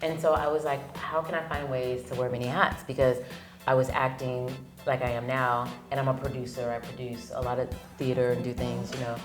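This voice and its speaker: Guest: woman actor-producer, very low voice, animated storyteller, faint music underneath. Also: fairly quick. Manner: animated storyteller who acts out quoted lines. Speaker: actress and producer, interview guest. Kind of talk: casual interview chat.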